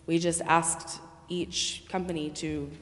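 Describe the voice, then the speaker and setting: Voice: mid-range voice, little pitch movement, slow pace, a bit bright. A city staff member answering questions at a public meeting, hesitant and apologetic, in an echoey meeting hall. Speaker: staff member presenting bids to a city council. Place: council chamber, indoors.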